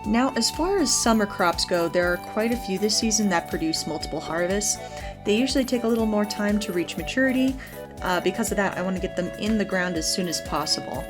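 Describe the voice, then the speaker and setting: A woman's voice: medium pitch, animated, a little fast. A woman hosting a gaming video, giving chatty, explanatory let's play commentary in a casual style. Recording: background music under the voice. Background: game music.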